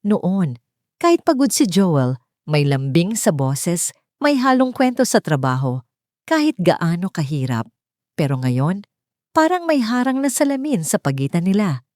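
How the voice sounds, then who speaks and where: A woman's voice: on the low side, very animated, measured pace, darkish tone, no room sound, clean studio sound. A woman narrating a scripted dramatic story.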